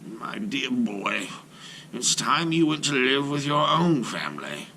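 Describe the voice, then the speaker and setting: A man voicing a wise, kind old fisherman in a storybook, speaking gently and softly. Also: mid-range voice, sing-song, a little hiss or hum. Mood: gentle, solemn. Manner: gentle, hushed, slow. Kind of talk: storybook character voice in a read-aloud.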